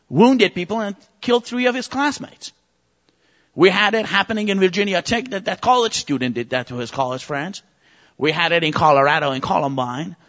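Impassioned high-voiced male preacher, reverberant. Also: a bit bright. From Iran. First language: Persian. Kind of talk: sermon. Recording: muffled recording with long reverb.

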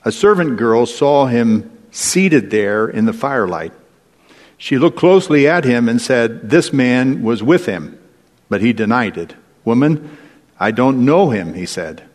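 A male preacher delivers a sermon in a large hall with long reverb. His pitch sits in the middle range for a man. He tells scripture as a story, deliberately, pausing for effect and putting questions to his listeners. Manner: deliberate storytelling, dramatic pauses and rhetorical questions. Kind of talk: sermon retelling and explaining Bible stories.

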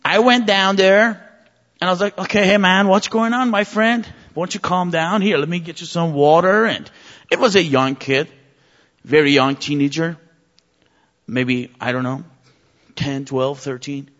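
A man's voice: fairly high pitch, measured pace, fairly bright tone. A man from Iran, a native Persian speaker, preaching an impassioned sermon with vivid stories. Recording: muffled recording with long reverb.

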